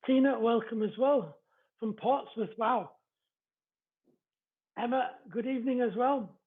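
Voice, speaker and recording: A male livestream host with a distinctly high-pitched voice and an East Yorkshire accent. He talks in a welcoming, chatty, polite way, as if greeting viewers one by one. The audio is thin and lo-fi, with a narrow phone-like band. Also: unhurried. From East Yorkshire, England. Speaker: spiritual medium hosting a live show.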